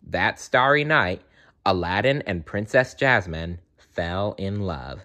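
A man reading a children's storybook aloud in an animated style, with playful asides to the listener. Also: low-pitched, slow. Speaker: storybook reader.

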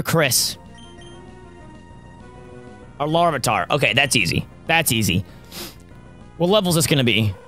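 A young man with a worn, raspy voice commenting on his own gameplay, close to a studio mic at a home setup and cheering on his team loudly. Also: a little high. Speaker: gaming YouTuber. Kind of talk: Let's Play commentary. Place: home gaming setup, indoors. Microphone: studio mic close up, seen. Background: faint game music.

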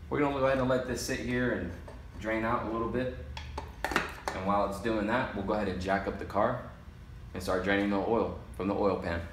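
A man's voice: lowish voice, fairly even intonation, a little fast. A man giving a casual step-by-step how-to while working on a car in a repair shop garage. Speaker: auto mechanic. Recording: echoey garage with faint noise.

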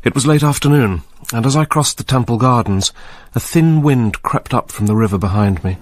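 An actor playing a reserved middle-aged police commander with an English accent, narrating and speaking in a measured, probing way. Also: male voice, mid-range voice, slight background noise, close to an everyday voice. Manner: measured, grave, restrained. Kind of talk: radio drama narration and dialogue.

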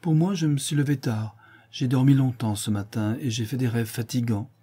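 A man voicing a proud, brooding young gentleman in a read-aloud novel, ironic in tone. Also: medium pitch, moderate pace, husky, pitched above the performer's own voice, lightly acted.

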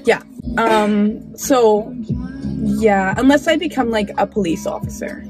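A woman's voice: mid-range voice, slow pace, poor audio. A young woman vlogger chatting casually with friends in a parked car, trailing off with lots of fillers. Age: young adult. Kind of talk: casual chat. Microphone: phone camera on dashboard. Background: background music.

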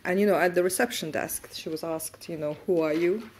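A woman in her forties speaking as a guest on a conference stage, animated as she makes her points. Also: lowish voice, brisk, slight background noise. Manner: animated, gestures as she talks. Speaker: panel guest. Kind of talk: fireside chat on stage. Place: conference stage before an audience. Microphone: lapel mic.

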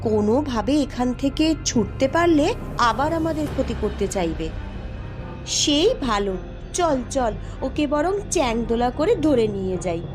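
A woman voicing a bold, commanding young princess in a cartoon, firm and deliberate. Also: fairly high pitch, expressive, music in the background, natural voice. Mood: calm, explaining. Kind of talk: cartoon voice acting.

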